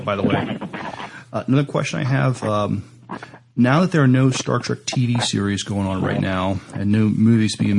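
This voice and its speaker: Creaky low-voiced man hosting an interview. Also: heavy background noise. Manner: halting, stumbling over words. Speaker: podcast interviewer. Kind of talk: interview with prepared introduction and questions.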